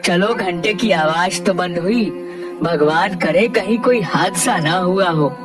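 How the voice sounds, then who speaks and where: A woman voicing a solemn village elder in an animated folk tale. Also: lowish voice, slow, distant mic, over music. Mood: sympathetic. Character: solemn village elder telling of a haunted temple.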